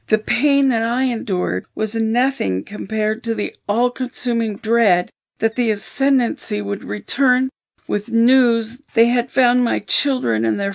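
A woman acts a wary old woman weighed down by grief in an audio drama. She speaks slowly and solemnly, with a creaky, fairly high voice. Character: grieving, wary old woman, protective and stubborn.

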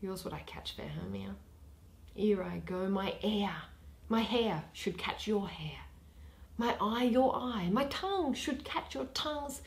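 A young woman reading a play in verse aloud, dramatically and expressively, pausing between phrases. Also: medium pitch, darkish tone. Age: young adult. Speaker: reader of a Shakespeare play. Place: small plain room indoors.

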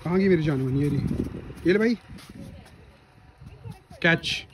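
A man's voice: on the high side, expressive, a little slow. A young man in his twenties, a student vlogging casually around his university campus on a phone held at arm's length, playful and teasing with friends. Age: 20s.

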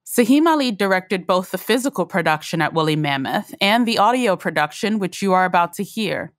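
Female narrator for arts program note; lowish voice, scripted delivery, very dry acoustic. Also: animated, fairly quick, no echo.

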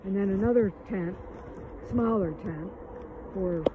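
A woman of middling pitch speaks hesitantly, with plenty of ums, as she lays out event plans. The audio is narrow and phone-like, with faint outdoor noise behind her. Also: unhurried. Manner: hesitant, polite, lots of fillers. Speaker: event organizer for a nonprofit. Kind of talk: conversation with a vendor.

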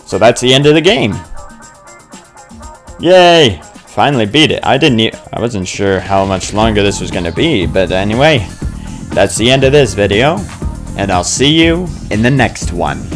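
A man's voice: fairly low pitch, animated, moderate pace, faint music behind. A man giving casual gaming commentary in a rambling, hesitant, informal way. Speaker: let's play gamer.

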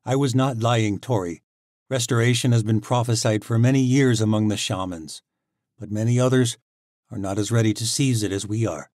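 A man reading aloud voices Alec, a calm and earnest young shaman who speaks steadily. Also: fairly low pitch, normal speed, no echo, studio quality, lightly acted.

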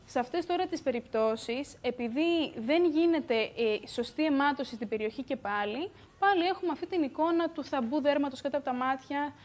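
A young woman, a beauty expert, explaining calmly in a TV studio on a lapel mic, with the odd "uh" filler. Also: high voice, hardly any pauses. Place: bright TV studio.

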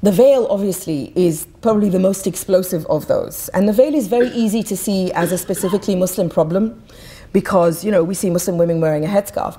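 A woman's voice: mid-range voice, warm tone. Passionate woman speaker from Egypt. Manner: passionate, emphatic, repeats words when restarting. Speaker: guest speaker. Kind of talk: public talk at a podium. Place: auditorium stage. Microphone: podium mic.

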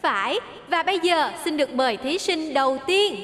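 A woman's voice: high voice, lively intonation, moderate pace, big-room sound. A woman voicing a friendly young female presenter in a children's cartoon. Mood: cheerful. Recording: loud music behind the voice.

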